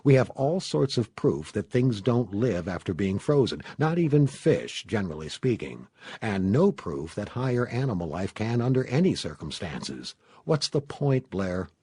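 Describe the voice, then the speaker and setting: A man's voice: fairly low pitch, big pitch swings, moderate pace, warm tone, breathy edge, no room sound, studio quality. A man voicing a stocky, sceptical expedition doctor in an audiobook, explaining his reasoning emphatically.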